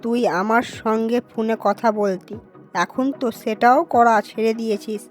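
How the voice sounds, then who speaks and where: A woman's voice: on the high side, level tone, moderate pace, soft background music, lightly acted. A woman voicing a caring but chiding village mother and fond sister in a cartoon. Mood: fond, emotional. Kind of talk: cartoon dialogue acting.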